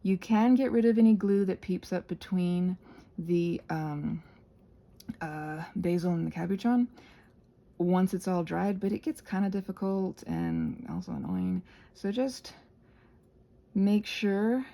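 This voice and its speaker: A woman hosting a casual, rambling craft tutorial in a halting, chatty way with playful, self-deprecating asides. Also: mid-range voice, vocal fry, mellow.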